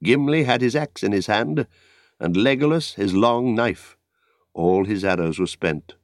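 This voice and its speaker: A male audiobook narrator with a lowish voice and a very unhurried delivery. He tells an epic tale solemnly and dramatically, in a close, dry studio sound. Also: no echo. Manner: measured, solemn storytelling.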